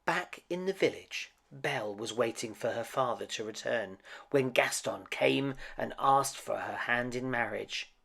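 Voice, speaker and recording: A man reading a picture book aloud to children as an expressive, dramatic storyteller. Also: mid-range voice, unhurried, creaky, few pauses, slightly rough. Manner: dramatic, with emphatic swells and hushed drops.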